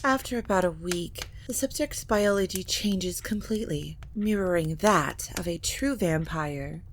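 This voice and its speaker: A woman playing an ancient, scheming vampire matriarch in an audio drama, speaking in a measured, formal and grave manner. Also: lowish voice, slight background noise, close to an everyday voice. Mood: grave, deliberate. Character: ancient vampire matriarch, scheming scholarly mother of grown children. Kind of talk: scripted audio drama acting.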